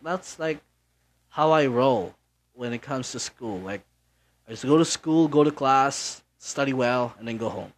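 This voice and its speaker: A man's voice: medium pitch, a little hiss or hum. A young man from the Philippines hosting his own podcast in casual solo chat, rambling with many fillers and restarts. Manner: offhand, mildly profane. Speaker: podcast host.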